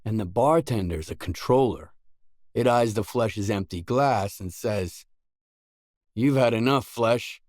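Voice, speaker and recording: An actor playing a weary, laconic stalker in a video game, a hardened loner with a terse, guarded, deadpan delivery. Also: male voice, fairly low pitch, measured pace, dry recording, clean studio sound, lightly acted. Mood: dry joke-telling. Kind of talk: isolated game dialogue lines.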